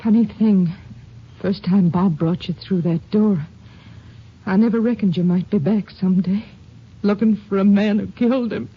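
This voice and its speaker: Lady acting as a husky american widow, sad and plain-spoken, halting, muffled old radio recording. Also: mid-range voice, natural voice. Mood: subdued grief. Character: grieving, hospitable small-town sheriff's widow. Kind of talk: 1950s radio drama acting. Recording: dull vintage radio recording.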